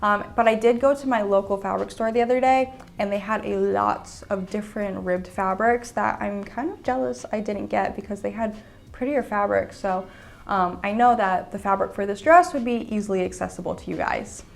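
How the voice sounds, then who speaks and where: A woman's voice: medium pitch, normal speed, creaky. A young woman hosting her own sewing and fashion channel, chatting casually and upbeat with lots of fillers, a little sick. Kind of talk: casual vlog chat. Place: indoors at home with sketchbook and iPad.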